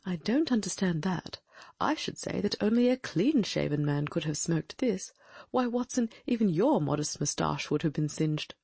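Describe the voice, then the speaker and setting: A woman's voice: on the low side, animated, normal speed, gravelly, mellow, breathy edge, dry recording, heavily acted. A woman voicing a brilliant, confident Victorian detective in an audiobook, reasoning aloud with precise diction. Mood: deducing. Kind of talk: audiobook dramatic reading.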